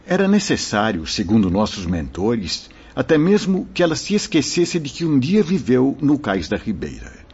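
An actor playing a gentle, solemn spirit mentor in a radio drama, fatherly and reassuring, with formal, measured delivery. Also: medium pitch, huge pitch swings, breathy edge, full character voice. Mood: solemn recounting. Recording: dull lo-fi radio drama recording.

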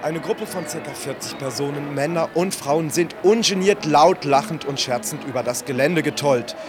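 An actor playing a prim, indignant field reporter in a mock live radio report, speaking in a pompous, florid way. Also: male voice, a little high, normal speed, music in the background, natural voice. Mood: scandalised, prim.

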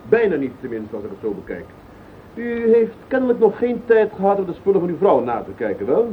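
An actor playing a cheeky, snooping sleuth, mock-polite and self-satisfied, in a Dutch radio play. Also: male voice, sing-song, fairly quick. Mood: smug, needling. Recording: tinny old radio recording, faint rumble.

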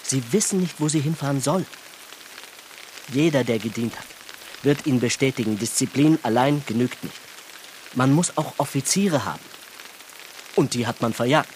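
A man's voice: mid-range voice, moderate pace, pauses often, a little hiss or hum, close to an everyday voice, rough recording. Pompous, smug businessman played by an actor. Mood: mocking, lecturing. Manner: pompous, sardonic, lecturing. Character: smug, talkative bourgeois Berlin businessman, conservative and self-satisfied. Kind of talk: radio drama acting.

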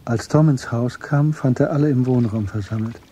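Very husky, mellow male narrator. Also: medium pitch, normal speed. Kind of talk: literary narration in a radio crime drama.

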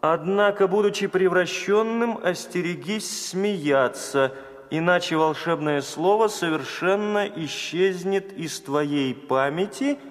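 High-voiced, imperious caliph. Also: male voice, takes it slowly, in a large hall. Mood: intrigued. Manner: imperious, theatrical. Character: impulsive, eager, commanding Eastern caliph. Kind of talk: audio play fairy-tale acting.

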